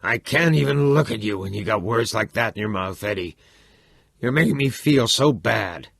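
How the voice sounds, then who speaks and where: A man voicing a blustering, heavy-set middle-aged father who shouts roughly. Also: on the low side, lively intonation, normal speed, no echo, pitched above the performer's own voice, full character voice. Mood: hurt. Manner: loud, rough and bullying.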